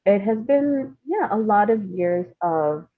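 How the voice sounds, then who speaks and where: A woman's voice: mid-range voice, lively intonation, takes it slowly, narrow, tinny audio. A woman from India speaking as a writer guest in a reflective interview, thoughtful and hesitant, with self-deprecating humor. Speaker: guest, playwright and screenwriter.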